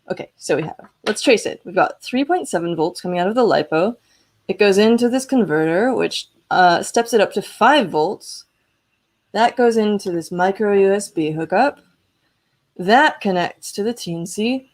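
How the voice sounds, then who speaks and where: A woman's voice: mid-range voice, darkish tone. Enthusiastic woman explaining electronics live. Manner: enthusiastic, exclaiming, chatty. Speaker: host of an electronics prototyping livestream. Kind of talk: casual live demo, explaining hardware.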